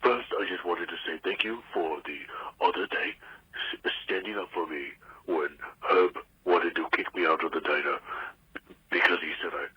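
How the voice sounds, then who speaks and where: A man playing a timid, bumbling townsperson leaving a stammering voicemail in a radio drama, with a rough voice. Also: low-pitched. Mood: hesitant, grateful. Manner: halting, stammering. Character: bumbling, timid, grateful male townsperson caller. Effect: voicemail filter. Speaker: voicemail caller. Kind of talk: scripted radio audio drama. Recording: muffled voicemail recording.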